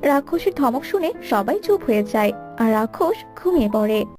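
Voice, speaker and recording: A woman with a high voice narrates a children's story from a script. Soft music runs behind her narration. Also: sing-song, normal speed.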